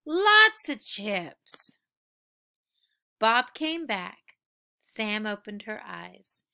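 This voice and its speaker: A woman reading a children's story aloud with expressive, dramatic emphasis for young listeners. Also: mid-range voice, very slow, creaky, clear, resonant tone.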